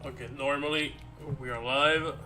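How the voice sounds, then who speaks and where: A tired man chatting casually on a live stream, rambling and halting with many restarts and fillers. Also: medium pitch, steady tone. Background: soft background music.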